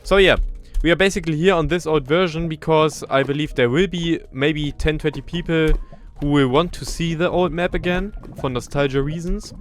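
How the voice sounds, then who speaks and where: A man's voice: a little high, steady tone, moderate pace, soft background music. A young man with a German accent, casually talking through a parkour game run as a gaming channel host, chatty and self-deprecating, thinking aloud as he plays. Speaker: gaming YouTuber. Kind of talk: casual gameplay commentary.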